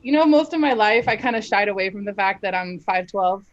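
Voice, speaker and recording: A young woman opera singer speaks with frank, emphatic confidence, breaking into easy laughter between thoughts. Her voice sits at a middle pitch for a woman, and the live stream audio comes through a bit muffled. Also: lively intonation, a little fast. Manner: candid, laughs often, emphatic. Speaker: opera singer, dramatic soprano. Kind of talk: casual interview talk.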